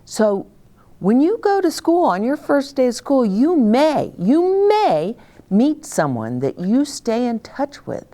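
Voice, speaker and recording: Older woman, slow and very expressive. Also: high voice. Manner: simple, expressive, addressing children directly, with dramatic pauses. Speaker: children's story time host. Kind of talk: storytelling and advice to young children.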